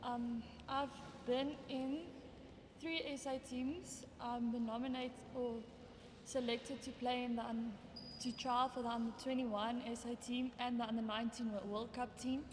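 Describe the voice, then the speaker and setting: A woman's voice: fairly high pitch, steady tone, a little slow, noisy background. A teenage girl giving a hesitant acceptance speech, with many fillers and restarts. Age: teens. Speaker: award winner. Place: indoor banquet hall. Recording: echoey hall with background chatter.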